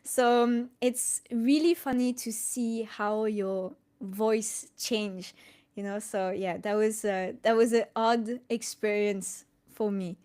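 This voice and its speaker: A woman from France with a French accent, answering questions casually on a live stream and haltingly thinking aloud as she goes. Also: medium pitch, steady tone, a little mellow, clean tone. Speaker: colorist hosting a live Q&A.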